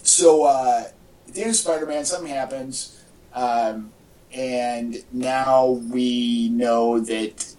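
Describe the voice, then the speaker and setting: Man, medium pitch, podcast co-host, casual fan talk, enthusiastic and rambling, slightly distant mic. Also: steady tone, takes it slowly, warm tone. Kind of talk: casual fan chat about superhero movies. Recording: echoey, off-mic home podcast audio.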